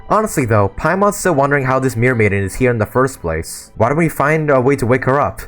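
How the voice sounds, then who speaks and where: A hoarse man reading aloud the lines of a small, chatty female fairy companion in his own plain voice. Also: medium pitch, natural voice. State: hoarse from a sore throat.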